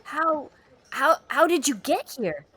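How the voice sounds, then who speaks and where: Slow-spoken, guarded widow. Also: female voice, sits high, sing-song, a bit bright, exaggerated voice. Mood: bewildered. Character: weary, guarded widow woman, plain-spoken and stubborn. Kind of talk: dramatic audio fiction acting.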